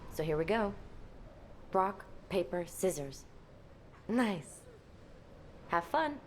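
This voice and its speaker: A woman's voice: medium pitch, very animated, slow, slight background noise. A woman playing a confident, blunt, teasing female military commander in a scripted video game scene. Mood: playful, brisk. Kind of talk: scripted game cutscene acting.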